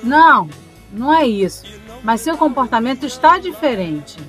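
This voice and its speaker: A woman's voice: sits high, sing-song, normal speed, a bit bright, faint music behind. A woman playing a devoted, probing girlfriend in an audio drama. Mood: tender, probing.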